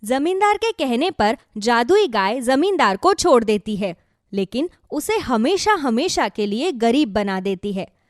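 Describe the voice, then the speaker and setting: A woman's voice: fairly high pitch, very animated, a little slow, dry recording, clean studio sound. A woman reading a scripted moral tale as a storyteller. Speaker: narrator.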